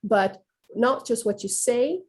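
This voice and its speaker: A woman's voice: on the high side, animated, slow pace, husky. A woman whose first language is Swedish, speaking thoughtfully on a video call webinar and often restarting as she searches for words. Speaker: presenter on trauma-informed practice. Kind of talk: webinar discussion.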